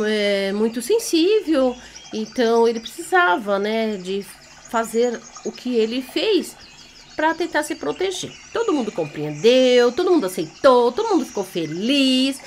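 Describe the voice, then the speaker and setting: A woman's voice: a little high, slight background noise. A woman with a Brazilian accent telling a children's story off the cuff, in a theatrical, teacherly way, checking in with young listeners. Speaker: children's storyteller and party entertainer.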